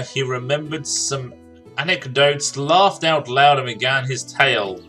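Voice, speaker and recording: A man's voice: mid-range voice, pushed and bright. A man doing casual, jokey gaming commentary, talking to himself and reading game dialogue aloud. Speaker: gaming streamer.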